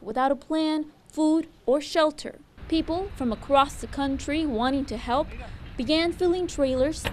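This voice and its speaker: High-pitched woman news reporter. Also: slight background noise. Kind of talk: scripted news narration.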